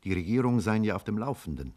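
A low-pitched man recounts grave events as a scientist looking back. His delivery is sober, formal and literary, in the manner of 1950s German radio drama. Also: animated, quick pace, a little mellow. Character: measured male scientist recounting a past catastrophe. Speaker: narrator.

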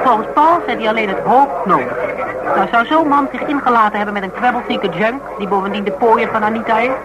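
A woman's voice: mid-range voice, very noisy, lightly acted. An actress with a Netherlands Dutch accent playing an outspoken woman who argues her case emphatically, in colloquial and teasing radio drama dialogue. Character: outspoken woman reasoning stubbornly with a fanatic cop.

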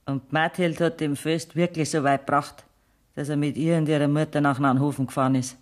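Ein Schauspieler erzählt als schlichter Berichterstatter in einem Hörspiel, umgangssprachlich und mit bairischer Färbung. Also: male voice, a little high, flat delivery, brisk, close to an everyday voice. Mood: matter-of-fact. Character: plain-spoken male storyteller recounting an outlaw's betrayal. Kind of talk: colloquial radio play narration.

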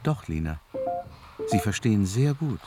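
Radio drama narrator: calm, insinuating, on the low side, with strong music underneath. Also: male voice. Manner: measured, insinuating. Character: calm, knowing male narrator prodding the heroine. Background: loud music.

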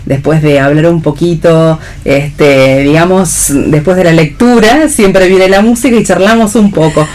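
A woman with an Argentine Spanish accent hosting a radio talk, speaking off the cuff and pausing to search for words. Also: low-pitched, darkish tone. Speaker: radio programme host. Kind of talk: unscripted radio conversation.

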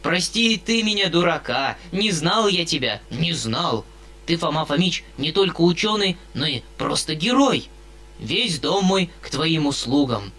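A man's voice: a little high, very animated, a little slow, bright, forceful tone, heavily acted. A man reading an audiobook, voicing a hearty, fawning fat country landowner who blusters effusively.

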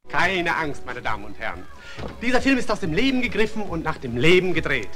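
A man's voice: fairly high pitch, sing-song, fairly quick, music in the background. A man announcing a 1950s film trailer in a showy, emphatic way, on an old soundtrack.

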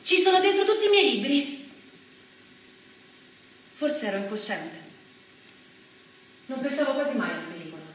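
A woman's voice: high-pitched, big pitch swings, moderate pace, pauses often. An actress playing a plucky, naive young woman courier of the resistance, recounting in an ingenuous theatrical way. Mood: innocent, candid. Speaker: stage actress. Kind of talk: theatrical monologue, storytelling. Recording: very echoey, distant stage recording, faint noise.

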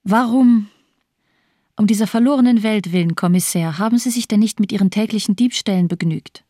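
A woman's voice: medium pitch, brisk, warm tone, no room sound, studio quality, natural voice. An actress playing a cold, bitterly nihilistic female doctor in a German radio crime drama, speaking in bleak, disdainful declamation. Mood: cold, mocking.

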